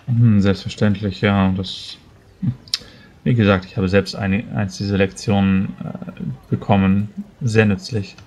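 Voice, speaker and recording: A man playing a tabletop roleplaying game, improvising dialogue in a measured, formal and somewhat hesitant way. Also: fairly low pitch, flat delivery, a little mellow.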